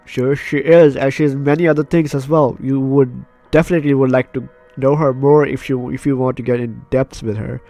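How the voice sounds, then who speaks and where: A man's voice: medium pitch, steady tone, brisk, few pauses, dark tone, faint music behind. A man doing a let's play, reading a visual novel aloud and breaking off into playful, chatty asides. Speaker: gaming YouTuber. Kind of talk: let's play, reading game text aloud with casual reactions.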